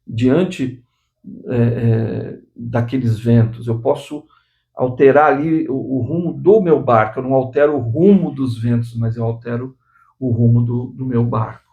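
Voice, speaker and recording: An older man in his sixties speaks earnestly to his viewers, giving a motivational talk on his own channel. His voice sits at an ordinary pitch with a gravelly, creaky edge. He often restarts phrases and checks in with small tag questions. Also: fairly even intonation, measured pace, lo-fi sound. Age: 60s. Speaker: channel host.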